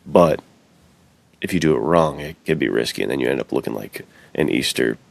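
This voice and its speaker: A man with a remarkably deep voice and a gravelly edge co-hosts a podcast. He speaks casually and conversationally, using everyday slang. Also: expressive, a little fast, no room sound. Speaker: podcast co-host.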